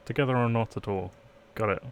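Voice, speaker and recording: A man's voice: mid-range voice, brisk, slight background noise. A man live-streaming a let's play, reading game text aloud and chatting in playful asides. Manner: playful asides, trying out accents.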